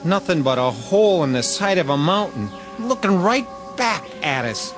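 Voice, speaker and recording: A wry uneasy infantry private. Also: male voice, a little high, very animated, moderate pace. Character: anxious young male infantry soldier. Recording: old TV soundtrack with music.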